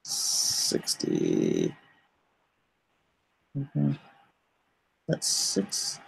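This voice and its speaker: A man chatting casually as a livestream host, haltingly and often muttering to himself. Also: very deep voice, huge pitch swings, vocal fry, slightly rough, rough recording. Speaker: comic artist and livestream host.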